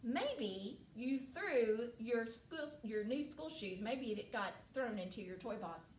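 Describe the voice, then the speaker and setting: Woman voicing a slow, helpful parent. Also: a little high, lively intonation, a little mellow. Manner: advising, consoling. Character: helpful, sympathetic parents, mom and dad. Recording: distant roomy recording, faint noise.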